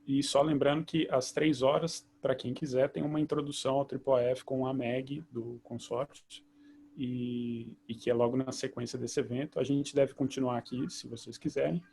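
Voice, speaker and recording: A man hosting an online meeting, explaining things in a relaxed, loose way over a video call. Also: mid-range voice, moderate pace, gravelly. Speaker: host.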